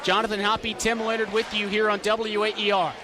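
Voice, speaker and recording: A man's voice: high-pitched, flat delivery, brisk. A young man reporting and calling a live basketball game in clipped announcer phrasing, as on a sports broadcast. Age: young adult. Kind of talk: live sports reporting and radio play-by-play commentary. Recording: noisy arena, crowd behind the voice. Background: crowd noise, bouncing basketball.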